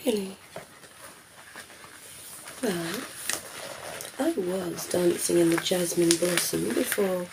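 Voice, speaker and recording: A woman voicing a ditzy, flirty female vampire in an ASMR roleplay, speaking softly and breathily, often in a whisper, with a coy, teasing manner. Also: mid-range voice, gravelly, background noise. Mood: soothing, flirty. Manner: slow, teasing, coy, giggly.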